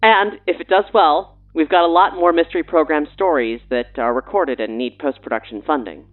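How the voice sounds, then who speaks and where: Higher-voiced man with thin, phone-like sound. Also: moderate pace. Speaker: podcast host. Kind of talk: scripted promo with playful banter.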